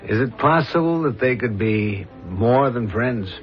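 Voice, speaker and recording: An actor playing a dogged, brooding police inspector with a deliberate, probing manner, in 1970s radio drama style. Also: male voice, medium pitch, lively intonation, faint music behind, lightly acted. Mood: musing, suspicious. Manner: deliberate, probing, clipped questions. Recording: dull lo-fi radio drama recording.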